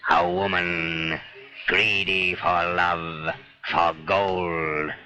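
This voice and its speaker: An actor playing a sinister, mysterious narrator with a raspy voice in a 1930s crime film. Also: male voice, low-pitched, flat delivery, very slow, pushed and bright, exaggerated voice. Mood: menacing, ominous. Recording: dull early-1930s film soundtrack.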